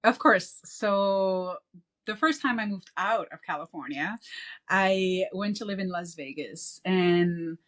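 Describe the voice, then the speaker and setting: Lady from belgium, ex car sales, rambly casual chat, kinda low voice, lots of "you know". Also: slow pace. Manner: rambling, uses "you know" and "right?" often. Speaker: guest, former car salesperson. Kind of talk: casual podcast interview.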